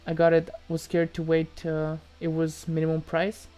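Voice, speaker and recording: A man's voice: a little high, monotone, moderate pace, dark tone, no echo. Casual male streamer from Moldova, music behind. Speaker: live streamer answering chat questions. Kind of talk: casual livestream chat.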